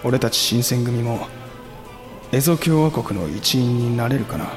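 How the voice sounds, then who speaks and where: Calm, wry swordsman leader, voiced by a man, mid pitch, music clearly under. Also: a little slow, lightly acted. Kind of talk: audio drama acting.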